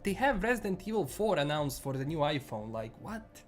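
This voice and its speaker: A man's voice: fairly high pitch, very animated, a little fast, faint music behind. A man with a Bosnian accent chatting casually on a live stream, rambling and restarting his sentences often. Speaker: livestream host.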